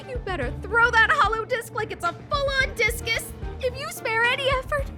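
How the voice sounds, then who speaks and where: A woman playing a sincere, dramatic young noblewoman in a video game, with a polite, emotive delivery. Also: extremely high pitch. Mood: stern, dramatic. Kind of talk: scripted game dialogue. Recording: music playing behind the voice.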